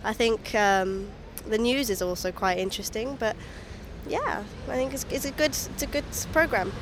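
A woman's voice: on the high side, normal speed, background noise. A woman from the public answering questions in a street interview, casual and a little hesitant. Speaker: member of the public. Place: outdoors on a street.

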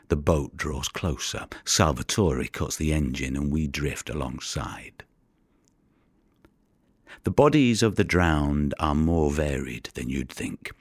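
An extremely low male voice plays a haunted Mediterranean fisherman in an audio play. He is husky and breathy, telling his story slowly and broodingly. The sound is dry and close. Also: dry recording, full character voice. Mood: sombre, haunted. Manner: hushed, deliberate, brooding storytelling. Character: haunted, weary Mediterranean fisherman who recovers drowned bodies. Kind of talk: dramatic audio monologue.

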